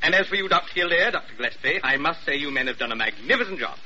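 A fussy, self-important hospital chief in a vintage radio drama. He speaks formally and emphatically in a sharp, highish, very animated voice, heard through a muffled old recording with faint noise. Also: rapid-fire. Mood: pompous approval. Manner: pompous, formal, emphatic repetition. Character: pompous, fussy senior hospital chief handing out praise. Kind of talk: 1940s-50s American radio drama acting.

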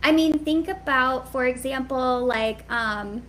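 A woman's voice: high-pitched, slow, fairly bright tone, slight background noise. A woman with a sweet voice giving a live coaching talk to hairstylists, candid and chatty with lots of "like" fillers.

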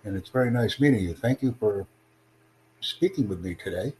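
A man hosting a livestream podcast, addressing callers in a deliberate, instructive way. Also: on the low side, lively intonation, a little mellow. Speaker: podcast host. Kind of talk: livestream chat with online callers. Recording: clearly noisy, humming background.

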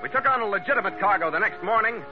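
An actor playing a hard-boiled, wry American sea captain in 1940s radio adventure style, with a clipped, tough delivery. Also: male voice, high-pitched, animated, rapid-fire, over music. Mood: brisk narrating. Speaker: lead actor and narrator. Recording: noisy, muffled old radio recording.

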